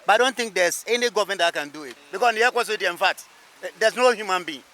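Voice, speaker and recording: A man's voice: high voice, rapid-fire, pushed and bright. A man with a Ghanaian accent answering a street interview emphatically, repeating his phrases. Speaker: interviewed local motorist or resident. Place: outdoors by a busy road.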